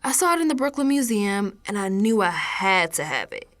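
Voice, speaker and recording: An actress playing a frightened, defiant young woman who is unraveling, in a colloquial, halting radio drama monologue. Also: mid-range voice, big pitch swings. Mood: uneasy, hushed. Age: young adult. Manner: colloquial, halting, slow, drifting into hushed tones.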